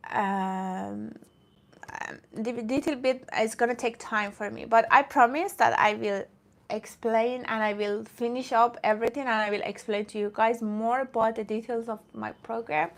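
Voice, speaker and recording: A woman's voice: a little high, fairly even intonation, normal speed, gravelly. A woman from Iran who streams about fitness, chatting casually with viewers in a rambling way and often breaking off to greet commenters.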